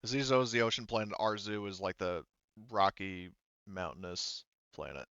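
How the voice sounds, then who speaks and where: A man with a low, clean voice runs a tabletop roleplaying game. He improvises the narration casually and haltingly, thinking aloud as he goes. The sound is close and dry, a little dull. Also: steady tone, a bit bright, smooth, no echo. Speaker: game master.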